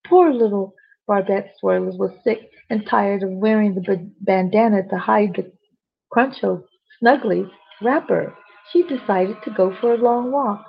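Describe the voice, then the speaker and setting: A woman storyteller with a husky voice reads a children's bedtime story expressively, with chatty asides to young listeners. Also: medium pitch, a little slow. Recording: dull, lo-fi sound.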